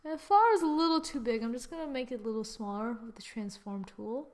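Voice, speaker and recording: A woman hosting a casual drawing software tutorial, thinking aloud informally and often murmuring as she works. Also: on the high side, quick pace. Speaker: tutorial host. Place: screen-recording voice-over.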